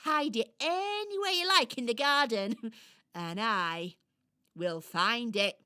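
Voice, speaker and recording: A woman's voice: a little high, slow, pushed and bright. A British woman voicing a boastful, mischievous female squirrel in a children's radio play, with theatrical, exclamatory delivery. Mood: playful, confident.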